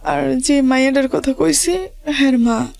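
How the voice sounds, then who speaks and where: A woman with a rural Bangladeshi accent, telling her life story to an interviewer in halting, repetitive dialect. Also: high-pitched, steady tone, vocal fry, darkish tone.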